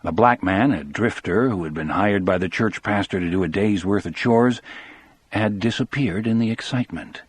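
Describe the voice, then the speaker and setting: A man's voice: fairly low pitch, moderate pace, husky, no room sound. A man narrating an audiobook in the first person, in a scripted, dramatic storytelling style.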